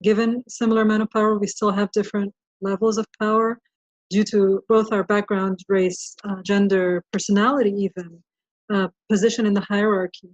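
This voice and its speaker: A woman puts a careful, measured question to a discussion group. Her audio sounds dull and muffled, with a very dry, close sound. Her voice sits at a middle pitch. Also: flat delivery, dry recording. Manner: measured, raising points as questions. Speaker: workshop participant.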